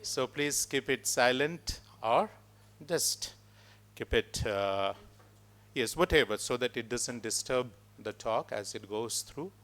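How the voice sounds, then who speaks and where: A man opens a spiritual retreat, introducing the speaker at a loud, measured, ceremonious pace. His pitch sits in a plain middle range for a man, and he speaks from an ashram hall. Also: lively intonation. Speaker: host of the retreat.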